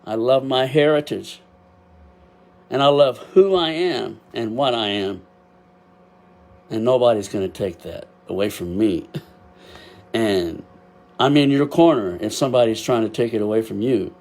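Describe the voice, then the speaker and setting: A man's voice: medium pitch, measured pace, gravelly, pauses often. Emotional older Texan man rambling. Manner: emotional, rambling, laughs often; loud at times, deaf and can't hear himself. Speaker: podcast host. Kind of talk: casual solo podcast talk. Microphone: built-in webcam microphone.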